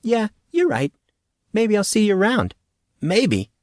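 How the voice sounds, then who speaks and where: A man's voice: high voice, wildly swooping pitch, measured pace, darkish tone, dry recording, clean studio sound, voice pushed up, full character voice. A man voicing a confident, playful teenage girl in an audiobook, with a coy and teasing manner.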